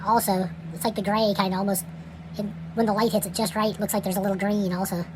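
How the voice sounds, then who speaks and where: Woman, hobby reviewer, casual and rambling unboxing talk; home recording with clear background noise. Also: medium pitch, fast. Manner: relaxed, chatty, with frequent fillers and trailing phrases. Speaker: toy reviewer. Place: indoors at night.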